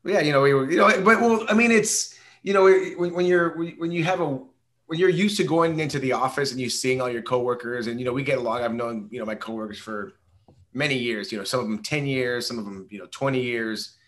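Hoarse male co-host, rambling filler-heavy sports chat, mid-pitched voice. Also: a little fast, a bit bright. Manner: rambling, many fillers and restarts. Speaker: podcast co-host.

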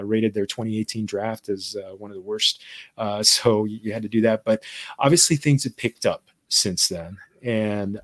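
A man's voice: lowish voice, dry recording. A man hosting a podcast, chatting casually, slipping Spanish words into his English between frequent fillers. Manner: mixes Spanish phrases into English, many fillers. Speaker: podcast host. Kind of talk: casual interview chat.

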